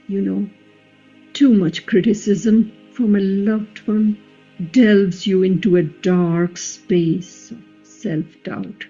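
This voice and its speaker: A woman playing a devoted, wounded epic queen in a play reading, speaking in a measured, reflective and solemn way, quietly resolute. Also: on the high side, husky, lo-fi sound, heavily acted. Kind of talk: play reading, radio drama.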